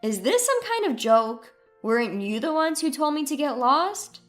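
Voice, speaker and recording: A mocking, cutting ex-wife from a dramatized story, acted by a woman narrator. Her voice is high and swoops with indignation. Faint music sits underneath. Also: big pitch swings, moderate pace. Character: scornful, newly independent ex-wife.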